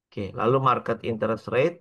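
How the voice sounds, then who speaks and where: A man with an Indonesian accent lecturing on a worked example step by step, halting often, mixing in English terms and adding little checking tags. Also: medium pitch, lo-fi sound. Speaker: accounting lecturer.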